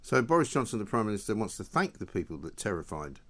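A man's voice: fairly low pitch, sing-song, quick pace, a bit bright, slight background noise. A man hosting an opinionated, conversational talk show, with mocking rhetorical questions.

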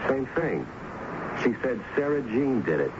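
An actor playing a courteous, patient police lieutenant in a 1970s radio mystery, speaking a bit haltingly. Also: male voice, mid-range voice, big pitch swings. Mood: thoughtful. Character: polite, steady male police lieutenant, a detective. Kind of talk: 1970s radio drama acting. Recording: noisy, dull 1970s radio broadcast.

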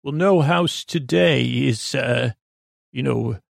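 A man acts out a fussy Gilded Age aristocrat from a bedtime tale, doting and genteel. The voice is creaky and halting, dithering along in a close, dry sound. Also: mid-range voice, no room sound, exaggerated voice. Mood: eager, envious.

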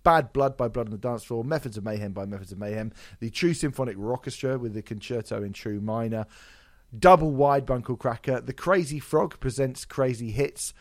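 A man hosting a casual music podcast, jokey, sweary and self-deprecating, his voice a little hoarse from a sore throat. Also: medium pitch, fairly quick, no echo, clean studio sound. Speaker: podcast host.

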